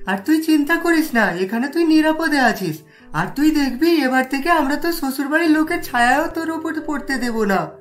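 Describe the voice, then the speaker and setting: A woman's voice: sits high, lively intonation, normal speed, soft background music. A woman voicing a middle-aged village father in a cartoon story, protective and regretful. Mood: regretful, consoling.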